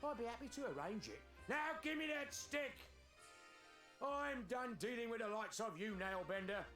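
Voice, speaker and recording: A man's voice: extremely high pitch, animated, normal speed, a bit bright, echoey room, faint music behind. An actor playing an irate, sarcastic male blacksmith in a fantasy game drama. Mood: angry, scornful. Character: gruff male blacksmiths, from lazy youth to irate boss. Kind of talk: audio drama and game voice acting.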